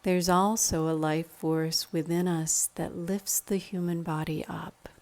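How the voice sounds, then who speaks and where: Woman, meditation guide, lowish pitch, very unhurried pace, calm instructional delivery.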